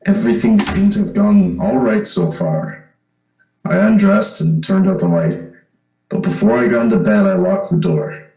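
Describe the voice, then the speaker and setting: A man playing an uneasy young man returned home in an old-time radio drama, speaking haltingly. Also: fairly high pitch, huge pitch swings, gravelly, darkish tone, exaggerated voice. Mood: guarded narration. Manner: hesitant, halting. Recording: roomy, echoing recording.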